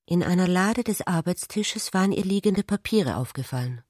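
A woman's voice: fairly low pitch, lively intonation, normal speed, a little mellow, husky, no echo, studio quality. A woman giving scripted literary narration in a radio play.

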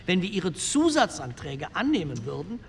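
A conservative postwar deputy with a distinctly high male voice. He lectures formally and insistently in a reverberant hall with faint noise. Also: very animated, normal speed. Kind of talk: re-enacted parliamentary speech.

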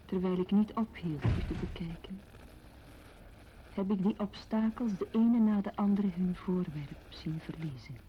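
An actress playing a reflective, intense woman in a literary radio play, earnest, measured and intimate, on a dull recording. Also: on the low side, slight background noise, close to an everyday voice. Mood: tender, intense. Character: reflective, intense woman confiding memories and love.

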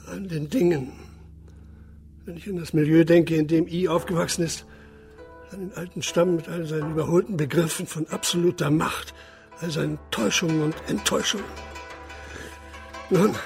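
Creaky, embittered puppeteer father. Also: fairly high pitch. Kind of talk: radio drama acting.

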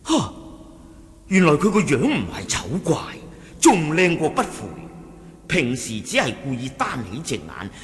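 A Cantonese-accented sect lieutenant in a martial-arts radio drama. His pitch sweeps dramatically as he reports to his chief, wary and respectful, breaking into emphatic exclamations. Long hall reverb surrounds him. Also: huge pitch swings, unhurried. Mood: animated. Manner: deferential, storytelling with emphatic exclamations.

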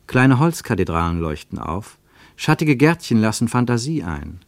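A man narrating a radio travel play in a measured, lyrical way, with dramatic swings in pitch and loudness. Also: very animated, dry recording.

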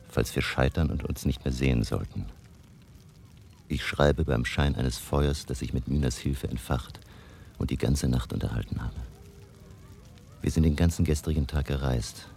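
Very deep, gravelly old vampire hunter. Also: male voice, a little mellow, a little hiss or hum. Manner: solemn, measured, dramatic. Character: grave elderly vampire hunter recounting his journey in a diary. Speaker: narrator and actor. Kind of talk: German radio drama, diary narration.

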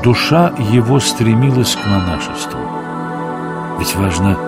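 An older actor playing a wise grandfather, narrating a radio play in a measured, instructive manner. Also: lowish voice, lively intonation. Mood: solemn, instructive. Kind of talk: scripted storytelling narration. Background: prominent new-age music.

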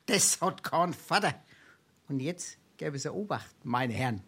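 A man's voice: on the high side, animated, a little slow, fairly bright tone, slightly rough, full character voice. A man playing a cheeky, penniless card-playing freeloader in a Darmstadt Hessian dialect stage comedy, theatrical, wily and grandiloquent. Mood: sly, assured.

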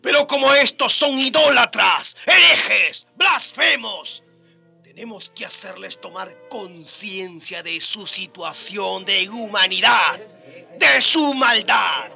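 A haughty, fanatical male theologian in a radio drama. He declaims slowly and pompously in a very high, gravelly voice that breaks into shouts, over music. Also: lively intonation, exaggerated voice. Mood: pompous, scornful. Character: haughty, fanatical Spanish theologian doctor demanding holy war. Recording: music behind the voice.

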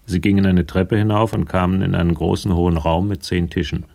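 A man narrating a crime radio play in a measured, matter-of-fact way, with a gravelly voice. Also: low voice, level tone, darkish tone. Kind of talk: scripted radio drama narration.

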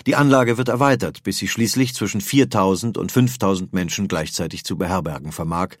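A man's voice: deep voice, a little fast, no room sound, clean studio sound. A man reads scripted documentary narration as a narrator, in pronunciation.

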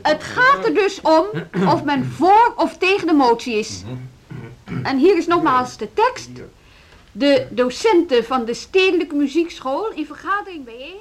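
An actress playing a flustered woman chairing a meeting in a radio drama, halting and conciliatory in manner. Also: high voice, sing-song. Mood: official, uneasy. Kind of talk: Dutch radio drama acting. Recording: loud crowd of murmuring meeting attendees.

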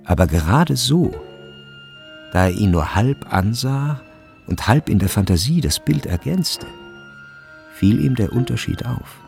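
A man narrating a literary radio drama in a measured, deliberate way, with a gravelly voice. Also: medium pitch, animated, a little mellow. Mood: measured, observant. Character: third-person narrator of a turn-of-the-century school story. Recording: studio with music behind. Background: instrumental music.

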